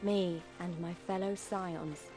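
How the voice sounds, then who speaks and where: A woman's voice: fairly low pitch, fairly even intonation, slow pace. Earnest young female resistance fighter, music behind. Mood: solemn, reflective. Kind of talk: dramatic video game voice acting. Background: orchestral music.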